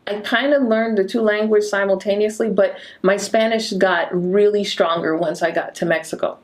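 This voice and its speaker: A middle-aged woman chatting on a podcast in a relaxed, easygoing way. She tells stories with a self-deprecating touch and keeps saying yeah and mm-hmm. Her voice sits at an ordinary middle pitch. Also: moderate pace. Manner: relaxed storyteller, self-deprecating, frequent affirmations. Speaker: real estate broker, co-host. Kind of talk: casual conversational podcast chat.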